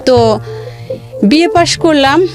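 A woman's voice: high-pitched, animated, takes it slowly. A woman with a Bangladeshi accent telling her life story in a radio interview, emphatically repeating phrases for stress. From rural Bangladesh. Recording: music under the voice.

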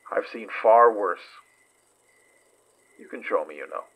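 An actor in a horror audio drama playing a gentle, determined man who speaks in a hushed, halting, soothing way with a breathy, rough edge. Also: lowish voice, expressive, highs cut off. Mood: gentle, reassuring. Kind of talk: audio drama acting, horror fiction podcast.

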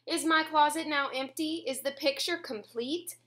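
A woman in her twenties reading a picture book aloud at home, expressively and clearly phrased for young children. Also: high-pitched, normal speed, a bit bright. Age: 20s. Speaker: children's story reader. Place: small room at home, indoors.

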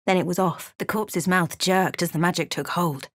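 An actress playing a watchful, introspective female fantasy adventurer, narrating her journey in measured, literary game prose. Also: lowish voice, a bit bright, no echo, studio quality. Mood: reflective. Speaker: video game character. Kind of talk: first-person past-tense scripted game narration.

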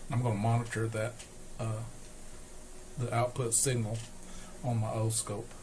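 A man giving an unscripted, hands-on repair demonstration, explaining step by step with hesitant pauses. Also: medium pitch, flat delivery. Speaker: host, electronics repair demonstrator. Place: small cluttered indoor electronics workbench. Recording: noisy workshop recording with equipment sounds.

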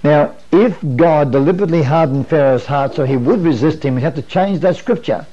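A man's voice: a little high, brisk, mellow. An older man leading a camp meeting Bible study in a wood-paneled room, asking his listeners questions and repeating their answers. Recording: dull lo-fi 1980s videotape.

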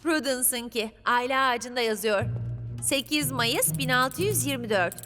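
A woman's voice: sits high, moderate pace, loud music behind the voice, natural voice. A woman voicing a kind, eager, busy young girl heroine in an earnest, upbeat way for a children's cartoon dub. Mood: curious.

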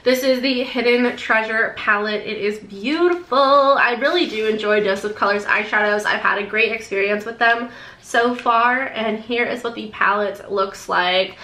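Young woman beauty vlogger, nasal and a bit congested, bright tone, fairly high, echoey room. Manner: bubbly, gushing, quick asides. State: sore throat, congested. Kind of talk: casual product unboxing to camera. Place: decorated bedroom, indoors.

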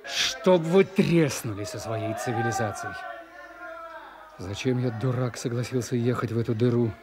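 Actor as an exasperated official over loud music. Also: male voice, mid-range voice, moderate pace, natural voice. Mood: exasperated, bitter. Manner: bitter grumbling. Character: exasperated new male district governor, self-reproaching. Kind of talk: radio drama acting.

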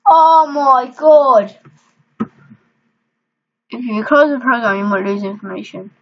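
A woman's voice: fairly high pitch, slow, lo-fi sound. A young woman casually commenting on her game, exasperated and muttering to herself. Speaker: gamer. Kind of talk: casual let's-play commentary.

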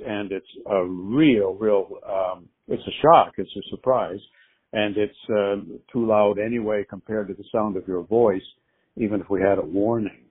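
A man leaving a fan voicemail by phone, phrasing things politely and deliberately. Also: fairly low pitch, breathy edge, phone-quality sound.

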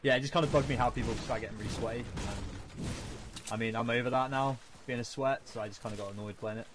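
Fast-talking lad gaming. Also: male voice, mid-range voice, steady tone, background noise. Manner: laid-back, swears, slangy banter. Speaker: streamer playing a shooter game. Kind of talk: casual gaming chat. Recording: game gunfire under the voice.

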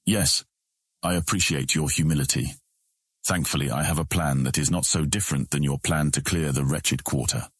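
A man voicing a scheming, self-assured guildmaster with a husky voice and a formal, insistent manner in a fantasy audiobook. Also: moderate pace, no echo, studio quality. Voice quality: husky, very deep. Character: scheming, self-assured male guildmaster, uneasy under pressure. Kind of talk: audiobook character acting.

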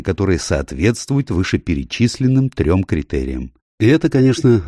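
A man reading a nonfiction audiobook in measured phrases, stressing key words, close to the microphone. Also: fairly low pitch, dark tone, no echo. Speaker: audiobook narrator. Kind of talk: scripted nonfiction audiobook narration.

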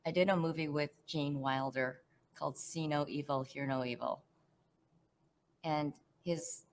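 A middle-aged woman, an actress, answering fan questions in a chatty, animated way over a headset on a video call from home. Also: rumbling low, slight background noise. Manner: chatty, warm, animated, laughs often. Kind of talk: casual virtual panel Q&A.

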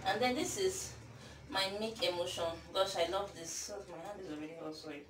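A woman's voice: on the low side, a little fast. A young Nigerian woman in her twenties with a Nigerian accent, chatting casually through a baking tutorial in a small home kitchen while she works. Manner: chatty, self-deprecating, talks while working. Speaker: baking vlogger. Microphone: camera mic from across the kitchen. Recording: echoey kitchen from far off, noisy.

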